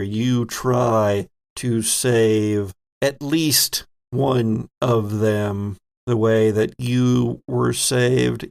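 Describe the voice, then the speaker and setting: A man narrating a tabletop horror game as game master, with deliberate, dramatic storytelling. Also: medium pitch, vocal fry, darkish tone. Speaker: game master, podcast host.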